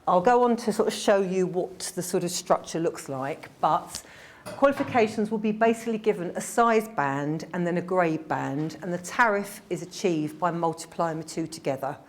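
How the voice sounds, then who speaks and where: A woman with a slightly croaky voice, giving a quick, informal briefing on admissions to a group. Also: fairly low pitch, a little hiss or hum. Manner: quick-talking, informal, with fillers. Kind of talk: presentation to a group.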